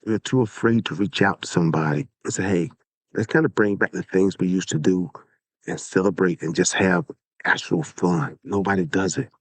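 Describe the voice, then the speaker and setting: Deep-voiced man in his sixties with a head cold. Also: dry recording. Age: 60s. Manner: earnest, exhorting, repeats points. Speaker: podcast host. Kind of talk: casual solo podcast monologue.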